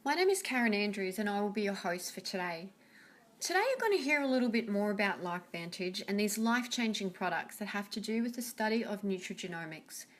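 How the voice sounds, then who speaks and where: A woman hosts the webinar and opens it with a scripted welcome. Her delivery has a bright, inviting announcer tone, at a middle pitch. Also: expressive, brisk. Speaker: webinar host.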